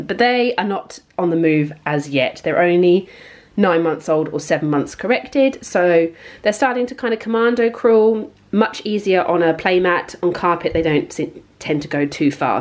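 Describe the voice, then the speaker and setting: A young woman giving a casual home tour as a parenting vlogger, chatty and informal as she explains things. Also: on the low side. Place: indoors at home. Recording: clear household background noise. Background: household noise.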